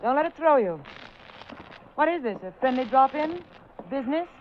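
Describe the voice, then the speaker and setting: An actress playing a sarcastic, prickly gold-digger wife in a 1940s radio drama, curt and wisecracking. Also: female voice, high voice, animated, moderate pace. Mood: sardonic. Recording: noisy, dull 1940s radio recording.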